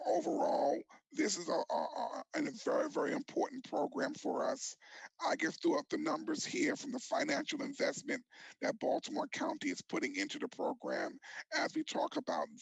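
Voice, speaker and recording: High-pitched man with a gravelly, creaky voice. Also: dry recording, poor audio. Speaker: expert presenter. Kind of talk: virtual commission presentation.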